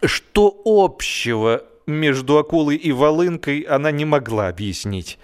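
A man performing a humorous literary narration in an expressive, mock-serious manner with dramatic pauses. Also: on the high side, clean tone.

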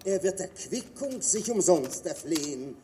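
An actor playing a sly, scornful devil, declaiming classical stage verse in a theatrical manner. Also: male voice, high voice, big pitch swings, measured pace, crowd noise. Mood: scornful, slow.

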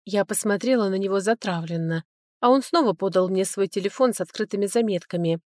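A woman's voice: mid-range voice, brisk, darkish tone, dry recording. Woman reading an audiobook novel, first person story, very dry close recording. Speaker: audiobook narrator. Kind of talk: scripted first-person fiction narration with acted dialogue.

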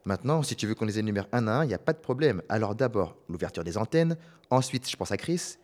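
A man's voice: lowish voice, animated, fast, darkish tone, natural voice. A man playing a wry, rambling, worried resistance fighter in an audio drama.

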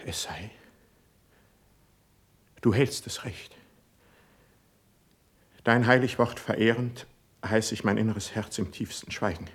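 An actor playing a proud, wounded poet with a husky voice, speaking solemn, halting verse to his prince in a classical radio drama. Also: on the low side, full character voice. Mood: bitter resignation. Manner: halting, solemn, emotionally weighted declamation.

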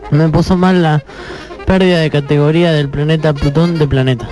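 A man's voice: fairly high pitch, fairly even intonation, brisk, darkish tone, faint music behind. An Argentine man co-hosting a radio show, whining in mock complaint about his friends. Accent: Argentine Spanish. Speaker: radio co-host. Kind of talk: joking radio banter.

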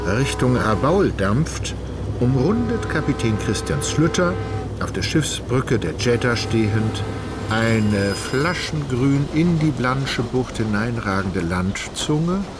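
A man giving formal, measured literary narration in a radio drama. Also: lowish voice. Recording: loud ambient vehicle-like noise behind.

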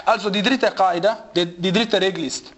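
A man's voice: high voice, fairly even intonation, normal speed, fairly bright tone. A man teaching a religious lesson to students, emphatic and repetitive, with frequent rhetorical questions, sometimes searching for words. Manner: emphatic, repeats key phrases, asks rhetorical questions.

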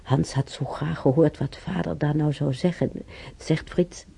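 A man playing an elderly German emigrant in a radio drama, reminiscing in a rambling, halting way with a German accent and husky, creaky voice. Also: a little high, steady tone, mellow, full character voice. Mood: nostalgic. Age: older. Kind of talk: intimate radio drama monologue.

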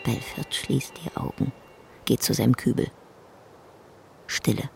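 An actor as a narrator reading stage directions in a radio play, in clipped, terse, theatrical phrases. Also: male voice, mid-range voice, steady tone, a little slow, creaky, slightly rough, exaggerated voice. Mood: terse, reporting.

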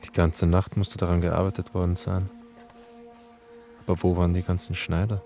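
A man playing a troubled, hesitant camera assistant in a radio drama, with a halting delivery. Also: low-pitched, monotone, warm tone. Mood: haunted. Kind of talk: German radio drama acting. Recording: music behind the voice.

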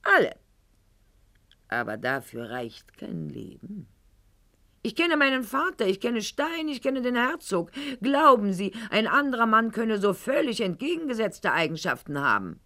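An actress playing a proud, wounded middle-aged aristocratic lady in a radio play monologue, articulate and ironic, with weighted pauses. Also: fairly high pitch, very animated, bright, forceful tone, heavily acted. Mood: agitated, insistent.

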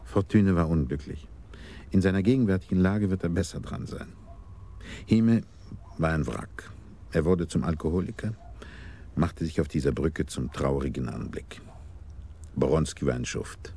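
An actor playing a cultured, self-satisfied schemer who talks with unhurried politeness in a German radio crime drama. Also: male voice, a bass voice, warm tone, exaggerated voice. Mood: amused, smug. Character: sly, cultured male schemer, self-styled keeper of order. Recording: clearly noisy 1980s radio drama recording.

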